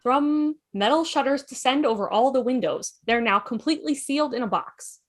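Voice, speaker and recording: A woman's voice: high-pitched, animated, moderate pace, background noise. A woman narrating the stage directions of a script in a fan table read.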